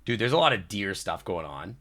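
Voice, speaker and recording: A man's voice: fairly low pitch, big pitch swings, brisk, bright, forceful tone. A man livestreaming a game in a slangy, sweary, casual way as his voice tires. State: voice tiring, losing it. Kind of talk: casual reactions and reading game text aloud.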